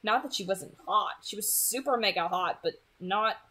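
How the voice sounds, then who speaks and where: A woman's voice: mid-range voice, normal speed, pushed and bright. A woman reading a first-person story aloud as its narrator.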